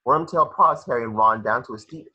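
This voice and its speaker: A man narrating stage directions for a script table read, in measured, dramatic short phrases. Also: mid-range voice, pushed and bright, breathy edge, highs cut off.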